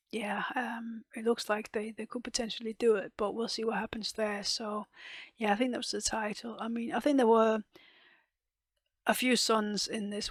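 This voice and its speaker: A woman reviewing a TV episode in casual chat, losing her voice, often clearing her throat and hesitating with ums. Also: mid-range voice, no room sound. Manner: hesitant, many ums and restarts, soft half-whispered delivery. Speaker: TV reaction and review host.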